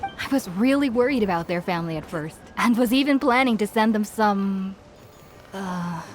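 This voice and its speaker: A woman's voice: fairly high pitch, darkish tone, a little hiss or hum. An actress voicing a kindly, forgetful woman in an anime video game, hesitant and easily flustered. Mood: confused, frustrated.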